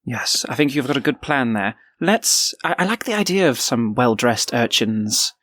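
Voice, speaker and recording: A fussy, theatrical clothes thief played by a man in a tabletop game. He is affected and fashion-obsessed, with a middling pitch and a dry, close sound. He sighs and speaks like someone deeply particular about finery. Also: very animated, normal speed, fairly bright tone, no echo. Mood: approving. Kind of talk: improvised tabletop role-play.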